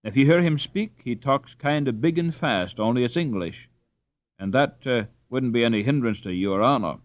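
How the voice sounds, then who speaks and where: A man voicing an excitable, well-meaning Dutch peasant mother in a storybook, breathless and rambling. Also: mid-range voice, moderate pace, no echo, close to an everyday voice. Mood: earnest.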